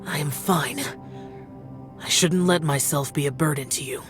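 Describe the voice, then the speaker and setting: A woman's voice: sits low, moderate pace, lightly acted. A woman voicing an aloof, stoic young male demon slayer in a video game, terse, brooding and weary. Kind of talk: English game dub voice acting. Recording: game music clearly behind the voice.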